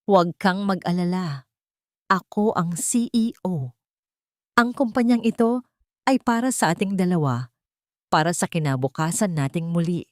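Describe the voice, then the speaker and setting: A woman's voice: fairly low pitch, lively intonation, moderate pace, pauses often, darkish tone, no room sound, lightly acted. Audiobook role: earnest, besotted male executive, reassuring his lover played by a female narrator, dry close recording.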